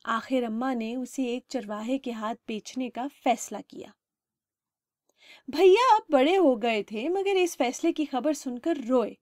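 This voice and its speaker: A woman storyteller narrating a children's story in an expressive way. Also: high voice, no room sound, clean studio sound.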